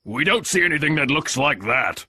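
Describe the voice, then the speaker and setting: A man voicing an agitated pirate henchman in a storybook, calling out loudly to his leader. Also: a little high, big pitch swings, moderate pace, husky, voice pushed up, heavily acted. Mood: urgent.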